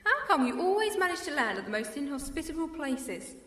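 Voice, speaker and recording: Actress as a sharp Time Lady, dry and teasing, high lively voice. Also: a little fast, a little hiss or hum. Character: sharp-witted female Time Lord companion.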